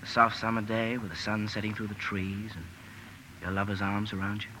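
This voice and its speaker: An actor playing an eager, teasing young romantic, in the emphatic manner of old-time radio drama. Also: male voice, on the low side, fairly quick. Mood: romantic, confiding. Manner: animated, emphatic. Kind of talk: 1940s-50s radio drama acting. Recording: noisy vintage radio broadcast recording.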